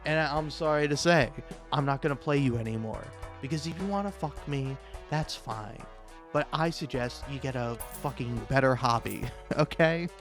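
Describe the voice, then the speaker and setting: A man doing casual, comedic, rambling gaming commentary, halting and stammering, self-deprecating and freely profane. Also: medium pitch, faint music behind. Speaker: video creator and host.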